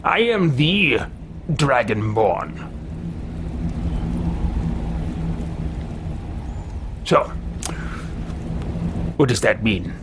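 A man doing a pompous adventurer who swoops up and down on a lively, slightly high pitch and drags his words out slowly. He sounds theatrical and archaic, with a noisy hiss in the room behind him. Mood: proud. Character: haughty, scheming male adventurer hero. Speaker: let's-play host. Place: home room with shelves, indoors. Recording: noisy home desk recording.

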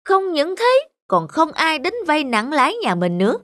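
An actor playing a greedy, scheming middle-aged village landlord in a cartoon folk tale, blustering and exclamatory. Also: male voice, very high voice, huge pitch swings, normal speed, fairly bright tone, dry recording, heavily acted. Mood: scheming, annoyed.

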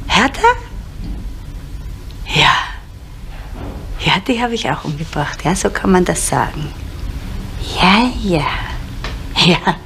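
An actress playing a bitter, desperate middle-aged woman in a crime radio drama, halting and repeating words, sometimes dropping into whispers. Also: fairly low pitch, animated, vocal fry, a bit bright, heavily acted. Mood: bitter confessing. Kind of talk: naturalistic German radio crime drama acting. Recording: noisy 1970s radio play recording.

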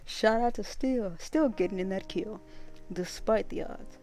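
A woman's voice: medium pitch, unhurried, dark tone. A woman livestreaming a game with casual, playful, chatty live commentary. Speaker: gaming livestreamer.

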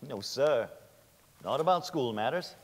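A male actor plays a guarded official on the witness stand in a stage drama. He answers tersely and defensively, with a mid-range, lively voice in a reverberant hall. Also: medium pitch, measured pace, husky. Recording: echoey theater hall.

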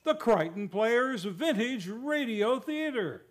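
A man's voice: extremely high pitch, expressive, takes it slowly, heavily acted. A man playing an exasperated, formal radio show host, announcing in a vintage radio style. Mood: grand, showy. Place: radio studio. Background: music.

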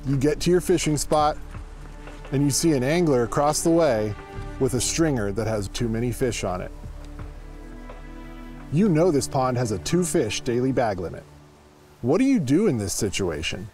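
A man's voice: mid-range voice, big pitch swings, normal speed, pauses often, darkish tone. A man in his thirties with an American accent, giving scripted instructional narration as a conservation officer. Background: music.

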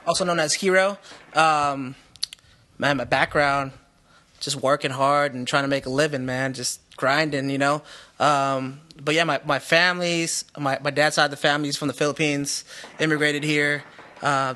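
Monotone male guest, bright and laid-back. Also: on the high side, normal speed. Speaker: podcast guest.

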